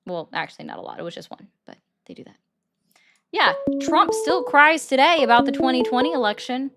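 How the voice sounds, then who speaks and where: A woman with a fairly high voice runs a call-in livestream. She talks bluntly and argues hard with her callers. She is quick to mock them. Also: big pitch swings, very noisy. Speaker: livestream host taking callers.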